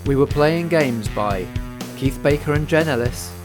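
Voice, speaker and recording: A man's voice: fairly high pitch, measured pace. Male presenter-player, tabletop storytelling and credits narration, guitar music underneath. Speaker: player, producer and presenter of the show.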